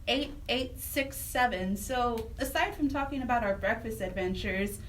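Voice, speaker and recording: Higher-voiced female radio co-host, slightly distant. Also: normal speed, roomy sound. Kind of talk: casual talk radio chat and interviewing. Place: radio show, live. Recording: distant mic, noticeable background noise.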